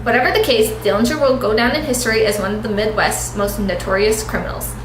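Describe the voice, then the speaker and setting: A woman's voice: fairly high pitch, fast talker. A woman reading a scripted report as a reporter in a mock 1930s newscast. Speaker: news reporter. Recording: echoey room with clear noise.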